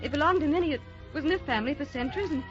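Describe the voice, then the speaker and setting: An actress playing a gentle, youthful wife with an earnest, anxious manner in an old radio drama. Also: female voice, high voice, racing, music in the background, natural voice. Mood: agitated, evasive. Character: gentle young-looking wife, anxious, secretive about her youth. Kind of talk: 1940s radio drama acting. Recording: dull vintage radio recording.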